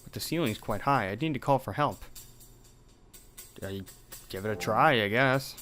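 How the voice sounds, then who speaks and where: A man's voice: medium pitch, moderate pace, a bit bright, soft background music. A young man hosting a let's play, reading out a horror game's dialogue with some drama and swearing in asides. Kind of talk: reading game text aloud with commentary.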